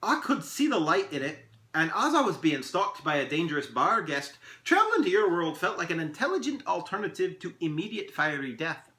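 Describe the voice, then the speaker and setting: A man voicing a tiny, merry and pompous male elf in a storybook, with theatrical, old-fashioned, drawn-out phrasing. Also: a little high, big pitch swings, bright, forceful tone, roomy sound, pitched above the performer's own voice. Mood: chatty, courteous. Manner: theatrical, archaic formal phrasing, drawn-out delivery. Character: tiny mercurial male elf, merry, pompous, riddle-loving pipe smoker.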